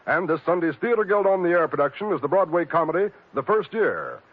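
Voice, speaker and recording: A man's voice: on the high side, expressive, a little fast. A man working as a network radio announcer, reading scripted 1950s broadcast announcements. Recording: tinny old radio broadcast recording.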